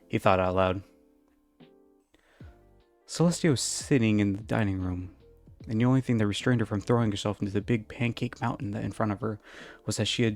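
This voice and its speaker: Low-voiced man reading aloud over music. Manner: informal, amateur read-aloud. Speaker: fan fiction reader. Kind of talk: storybook narration, casual intro.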